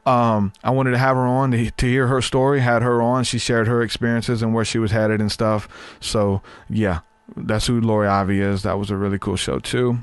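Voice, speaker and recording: A man's voice: medium pitch, level tone, normal speed, smooth, no echo. A man in his thirties hosting a podcast, chatting casually and rambling, his voice tired from long talking. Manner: rambling, repeats words, many fillers. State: voice getting tired. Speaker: podcast host.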